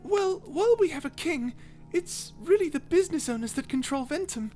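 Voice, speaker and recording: Squeaky-high, eager little mouse. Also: animated, normal speed, dark tone, soft background music. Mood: eager, earnest. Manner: chirpy, eager, explanatory. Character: small eager cute mouse disciple, polite, excitable. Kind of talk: ASMR roleplay character acting.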